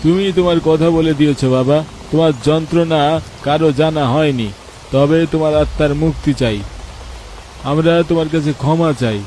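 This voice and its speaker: A man voicing a grave, solemn village priest and exorcist in an animated horror story, speaking with commanding gravity. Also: on the high side, normal speed, slight background noise, pitched above the performer's own voice, natural voice, low-quality recording. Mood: solemn, consoling.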